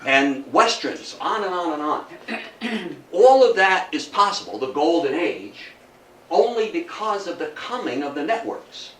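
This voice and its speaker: A man's voice: fairly high pitch, very animated, measured pace, fairly bright tone. A man in his seventies giving a public history lecture in an emphatic storytelling manner, with rhetorical questions. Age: 70s. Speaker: guest lecturer on radio history. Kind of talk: public history talk to a live audience. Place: indoor room at a public talk. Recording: echoey room, distant mic, faint noise.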